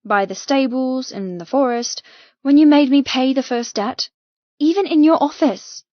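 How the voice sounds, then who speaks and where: Female narrator as spirited young heroine of audiobook romance: emphatic, challenging, raised pitch, dry and slightly dull recording. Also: a little slow, no room sound. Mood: challenging, insistent. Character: defiant, spirited young woman heroine challenging her captor. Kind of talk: audiobook character dialogue.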